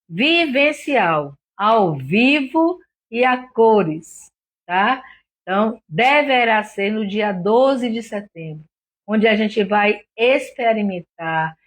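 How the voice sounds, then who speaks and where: Female, Brazilian accent, medium pitch, slightly hoarse; plays a doctor informally teaching online viewers. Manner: didactic, with frequent check-in tags. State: a little hoarse. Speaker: doctor hosting a health live stream.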